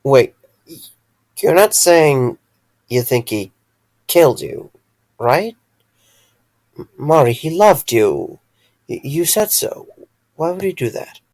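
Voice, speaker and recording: A man's voice: fairly high pitch, very animated, slow, long pauses, darkish tone, dry recording, full character voice. A man playing a caring, dryly wry friend in an audio drama, earnest and a little hesitant. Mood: shocked.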